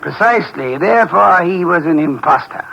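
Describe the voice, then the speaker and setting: An actor playing a pompous, precise older detective with lordly diction, in 1950s radio drama style. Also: male voice, a little high, lively intonation, moderate pace, gravelly, pushed and bright, muffled recording, full character voice. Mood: lecturing. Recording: old radio broadcast with faint hiss.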